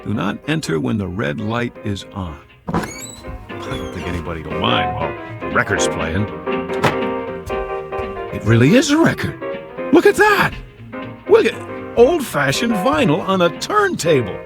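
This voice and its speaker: Lost amnesiac American airman, radio play, stammering, highly expressive delivery, music underneath. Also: vocal fry, full character voice. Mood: wondering, uneasy. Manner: halting, stammering, repeats words. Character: confused, lonely amnesiac Air Force man talking to himself. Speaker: lead actor. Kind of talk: dramatic radio play acting.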